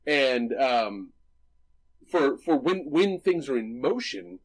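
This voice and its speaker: Man, mid-pitched voice, casual podcast chat, enthusiastic, restarts a lot. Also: animated, slow, low-quality recording. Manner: enthusiastic, explains with many restarts and "right?". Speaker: podcast co-host. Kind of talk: casual movie discussion.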